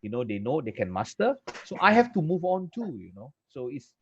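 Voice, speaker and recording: A man's voice: on the high side, huge pitch swings, brisk, fairly bright tone, muffled recording, slight background noise. A Malaysian man speaking casually as a guest instructor in an online meeting, repeating phrases and often checking in with "you know" and "yeah". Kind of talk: casual spoken Q&A. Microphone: online video meeting.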